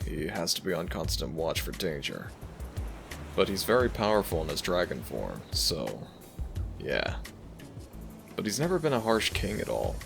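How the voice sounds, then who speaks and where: A man playing a gentle young dragon prince, a devoted boyfriend talking tenderly to the listener in a romantic audio roleplay. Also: low-pitched, creaky, heavily acted. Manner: tender, affectionate, soft-spoken reassurance. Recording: music behind the voice. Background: background music.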